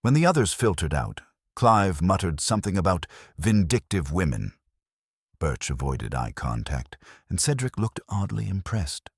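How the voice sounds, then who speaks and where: A man's voice: low voice, very animated, moderate pace, breathy edge, no room sound, clean studio sound. A man reading a first-person detective story as a scripted narration. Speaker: audiobook narrator.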